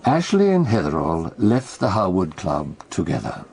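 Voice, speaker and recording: A shrewd armchair detective in a radio play. His voice is very deep and gravelly, yet lively in inflection. He explains crimes in a dry, knowing, measured way. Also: a little hiss or hum, heavily acted. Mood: knowing, explanatory. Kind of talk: radio drama acting.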